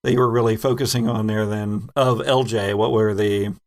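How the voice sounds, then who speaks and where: A man's voice: fairly low pitch, steady tone, moderate pace. A man hosting a casual roundtable chat as a podcast host and game master.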